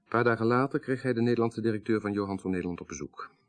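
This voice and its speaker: An actor playing a grave, troubled son in a radio drama, speaking in a formal, measured, articulate way. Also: male voice, on the low side, natural voice. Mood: measured, serious. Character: grave, troubled son recounting his dead father's story.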